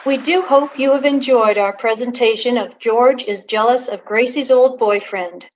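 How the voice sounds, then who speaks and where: A woman's voice: fairly high pitch, moderate pace, a faint crowd, slight background noise. A woman announcer reading scripted closing credits for a radio play, on a dull, muffled recording. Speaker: narrator and announcer.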